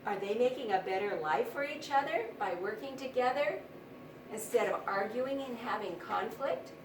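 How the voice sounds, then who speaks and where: A woman teacher with a high, very lively voice talks to young children. She instructs step by step, asks simple questions and invites repetition, recorded at a distance from the mic. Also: normal speed. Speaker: primary school teacher. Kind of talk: read-aloud lesson for young children. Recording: roomy, distant mic, faint noise.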